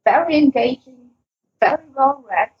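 Casting: woman podcast co-host, very husky and breathy, enthusiastic, halting delivery, muffled recording. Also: high voice, expressive. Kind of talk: casual podcast chat, recommending audio stories.

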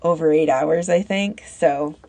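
Woman chatting casually, low-pitched, dry close sound. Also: a little mellow, no echo. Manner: informal, halting, thinks aloud. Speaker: podcast panellist recommending fan audio fiction. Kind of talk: casual chat.

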